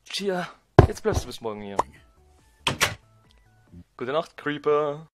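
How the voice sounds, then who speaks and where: A man playing a grumpy, excitable young game hero in a cartoon fandub, irritable and exclaiming. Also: fairly high pitch, takes it slowly, over loud music.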